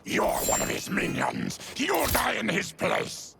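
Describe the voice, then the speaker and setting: An actor playing a proud, embittered disfigured mutant leader with a gravelly growl in a video game cutscene. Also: male voice, very high voice, sing-song, slow, very noisy, exaggerated voice. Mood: desperate fury.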